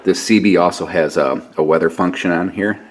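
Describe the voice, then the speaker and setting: A man's voice: sits low, fairly even intonation, brisk. An American man in his fifties giving a casual hands-on demonstration on a camera microphone in a garage workshop. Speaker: DIY video host. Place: small cluttered garage workshop. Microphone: camera microphone at arm's length. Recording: handheld camera, clear background noise.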